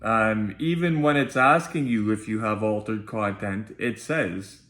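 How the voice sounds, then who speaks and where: A man's voice: lowish voice, a little slow, a bit bright. A man with a nasal voice, chatting informally to viewers as he hosts a casual unboxing vlog. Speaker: video host and collectibles unboxer. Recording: roomy, echoey sound.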